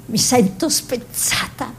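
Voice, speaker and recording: An actress playing a sharp-tongued Italian woman artist, a painter's exasperated wife, blunt and contrary in a stage-style drama. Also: a little high, takes it slowly, creaky, husky, heavily acted. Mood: wistful. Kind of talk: theatrical two-hander, dialogue and narration.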